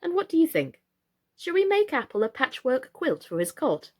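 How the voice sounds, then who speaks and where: A woman's voice: high voice, huge pitch swings, moderate pace, darkish tone, pitched above the performer's own voice. A woman voicing a kind, practical mother in a children's storybook. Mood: encouraging.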